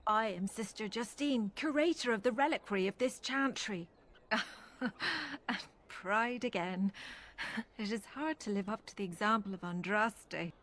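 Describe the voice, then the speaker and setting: A woman's voice: medium pitch, lively intonation, measured pace, fairly bright tone. A woman voicing a humble, devout religious sister who tends relics, in a video game. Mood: polite, humble.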